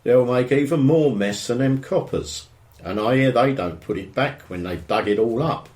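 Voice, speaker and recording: An actor playing a grumbling, nosy middle-aged husband in an audio drama, with an English accent and a dry manner. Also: male voice, mid-range voice, normal speed, a little mellow, roomy sound, lightly acted. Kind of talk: naturalistic audio drama dialogue.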